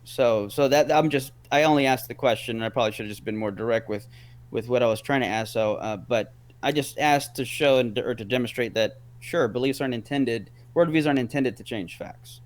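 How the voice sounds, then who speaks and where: A man's voice: mid-range voice, brisk. Man arguing in a rambling way. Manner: rambling, repeats words, argues step by step. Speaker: guest. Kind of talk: casual debate chat.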